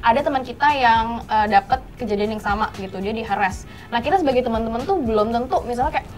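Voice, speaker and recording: A woman's voice: fairly high pitch, fast, faint music behind. A woman with a Jakarta Indonesian accent in a casual group discussion, chatty and repeating phrases for emphasis. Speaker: talk show panelist.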